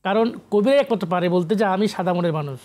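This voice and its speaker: A man's voice: sits high, fast, a little mellow. A man from Bangladesh talking as an interview guest, emphatic and repetitive, posing rhetorical questions and mixing in English words. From Comilla, Bangladesh. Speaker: guest poet and researcher. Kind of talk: interview talk.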